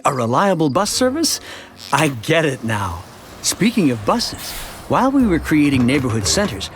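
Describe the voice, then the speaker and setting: Cartoon narrator: contented male townsman, conversational first-person storytelling, very varied pitch, music underneath. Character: contented everyman townsman telling his city's story.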